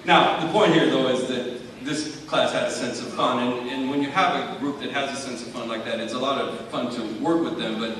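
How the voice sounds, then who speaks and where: A male high school teacher delivering a prepared award speech in a measured, articulate, formal way with dry wit. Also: fairly bright tone. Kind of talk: prepared speech, wryly humorous tribute. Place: large hall at an awards ceremony. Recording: very echoey hall, far from mic, faint noise.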